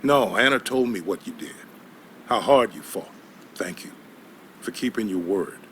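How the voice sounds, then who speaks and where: Folksy older father, low bright-edged voice. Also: lively intonation, unhurried, a little hiss or hum. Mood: grateful, stern. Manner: folksy, plain-spoken. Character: gruff, protective small-town father, older man. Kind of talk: video game dialogue acting.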